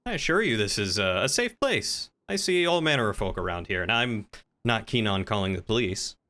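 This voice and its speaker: A man's voice: on the low side, animated, fairly quick, pushed and bright. A man improvising in-character roleplay chat as a courteous, folksy tavern keeper in an online game.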